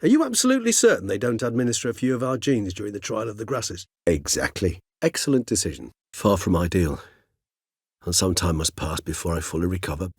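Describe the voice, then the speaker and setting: An actor playing an urbane, erudite ancient male vampire in a video game, speaking in eloquent, formal, precise phrases with a wryly measured manner. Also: fairly low pitch, animated, slightly rough, no echo, studio quality. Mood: thoughtful, courteous. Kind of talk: video game character acting.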